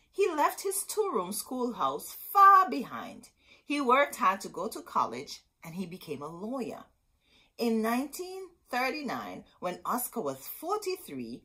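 A woman's voice: medium pitch, huge pitch swings, unhurried, sharp tone, slightly rough. A middle-aged woman reading a children's picture book aloud in a dramatic, emphatic storytelling manner. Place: indoor room with bookshelves.

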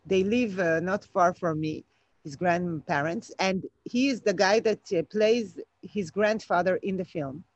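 A woman answers interview questions, pausing often and searching for words. Her voice comes through a dull, lo-fi video call, dry and close. She sits outdoors at night, talking into a laptop. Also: lowish voice, no room sound. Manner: hesitant, thoughtful, many fillers. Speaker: film director. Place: outdoors at night, home garden. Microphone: laptop webcam video call.

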